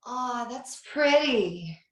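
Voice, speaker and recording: Woman acting bright, lilting, affectionate mother. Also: a little high, expressive, drawn-out words, full character voice. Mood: warm, excited. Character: sweet, affectionate mother chatting on phone. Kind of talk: table read of a film script over video call. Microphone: video call. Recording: roomy, echoing video call audio.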